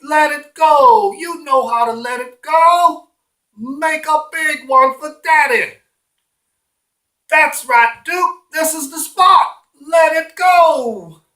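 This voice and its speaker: A storyteller voicing a doting, insistent man walking his dog, with drawn-out, coaxing urging. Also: sits high, bright, forceful tone, voice pushed up, full character voice. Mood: loud coaxing. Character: doting, insistent male dog walker, average size. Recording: roomy, echoing room sound.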